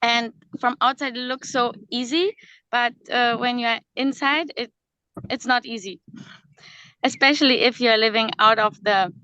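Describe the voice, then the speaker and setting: A woman's voice: on the high side, fairly even intonation, unhurried, pushed and bright, clear, resonant tone, no room sound, muffled recording. A woman in her thirties, born in Slovakia and raised in Germany, speaking English as an on a video call, hesitant and laughing often. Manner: non-native phrasing, hesitant, laughs often. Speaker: guest, worldschooling mother. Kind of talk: casual video-call chat.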